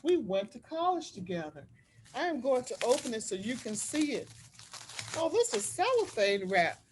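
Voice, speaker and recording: A woman reading a storybook aloud to children, explaining things simply and deliberately like a school librarian. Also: on the high side, sing-song, a little hiss or hum, low-quality recording. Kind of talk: read-aloud storytelling with teacherly asides.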